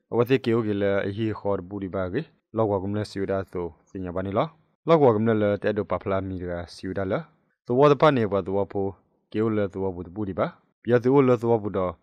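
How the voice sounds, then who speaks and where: A man with a fairly low voice reads a scripted news report. The recording is slightly dull and band-limited, with a dry sound. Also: darkish tone, no echo.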